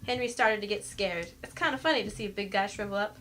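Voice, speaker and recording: A woman's voice: mid-range voice, quick pace, a bit bright, lightly acted. A woman playing a small, brazen, slangy female murder suspect in a radio mystery. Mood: feigned innocence. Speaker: amateur voice actor in an audio mystery. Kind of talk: scripted radio mystery acting.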